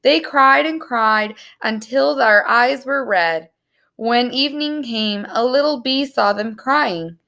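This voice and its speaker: A woman reading a children's storybook aloud as narrator, with simple, deliberate phrasing. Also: fairly high pitch, bright, forceful tone.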